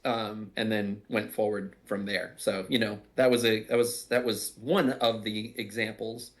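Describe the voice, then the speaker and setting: A man's voice: fairly low pitch, little pitch movement, measured pace. A man giving casual behind-the-scenes commentary, explaining things in a reflective, self-deprecating way. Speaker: audio drama writer and voice actor.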